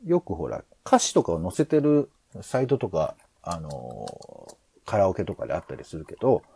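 A man's voice: low-pitched, very animated, normal speed, creaky, dark tone, slightly rough. A man co-hosting a podcast in casual planning discussion, hesitant and rambling as he thinks aloud. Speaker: podcast co-host.

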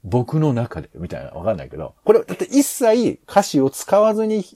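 A man's voice: mid-range voice, huge pitch swings, fairly quick, dark tone, slight background noise. A man co-hosting a podcast in casual planning discussion, hesitant and rambling as he thinks aloud. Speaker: podcast co-host.

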